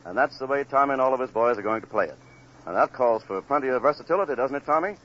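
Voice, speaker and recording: A man's voice: mid-range voice, animated, fast talker, fairly bright tone, a little hiss or hum. A man with an American accent announcing on a 1940s radio broadcast in formal, flowery showman's patter. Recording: dull 1940 radio broadcast, music behind. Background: big band music.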